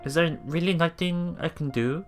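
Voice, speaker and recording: A man's voice: fairly high pitch, normal speed, faint music behind, pitched above the performer's own voice, natural voice. Man acting out a desperate, determined young hero. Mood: desperate, pleading. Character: desperate, determined young male visual novel protagonist.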